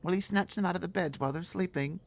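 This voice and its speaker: A man doing a shrill indignant little girl. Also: fast, gravelly, a little hiss or hum. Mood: pleading. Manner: shrill, pleading, loud.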